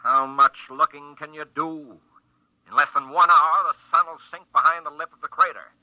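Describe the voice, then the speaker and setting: An actor playing a gruff, stern spaceship commander in a 1950s radio drama, barking orders. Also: male voice, a little high, fairly even intonation, normal speed, no room sound, lightly acted. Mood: grave. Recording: dull, dry old radio broadcast.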